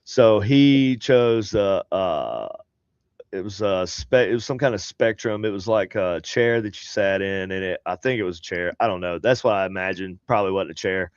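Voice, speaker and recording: A man's voice: sits low, clean tone, dry recording, muffled recording. Nasal man with a head cold. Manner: rambling, halting, many fillers. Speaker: podcast co-host. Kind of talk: casual chat. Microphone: home desk setup on a video call.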